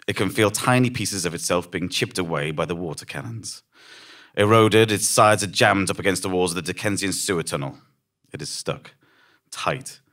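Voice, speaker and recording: A man's voice: deep voice, sharp tone. A man reading a scripted story live on stage as dramatic narration, grave and emphatic. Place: on a small theatre stage before a seated audience. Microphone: stand microphone.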